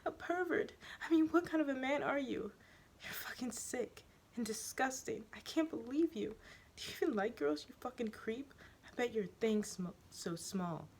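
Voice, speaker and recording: A reader doing a shrill, breathy teenage girl. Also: brisk, slight background noise, natural voice. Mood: shrieking furious.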